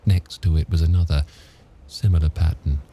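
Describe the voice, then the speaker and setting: A man's voice: very deep voice, steady tone, moderate pace, dark tone, a little hiss or hum. A man reading an audiobook as narrator, in a scripted storytelling delivery.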